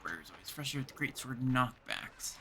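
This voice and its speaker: A man's voice: medium pitch, animated, fairly quick, pushed and bright, a little hiss or hum. A man from Canada casually commentating as he livestreams a game, thinking aloud in short remarks. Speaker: livestreaming gamer.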